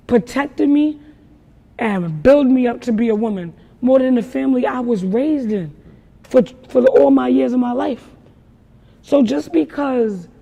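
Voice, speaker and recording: A woman in her twenties with a naturally raspy voice, a rapper talking emphatically about her life in a casual interview. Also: on the high side, warm tone, big-room sound. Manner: emphatic, storytelling, repeats "let me tell you something". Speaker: rapper guest.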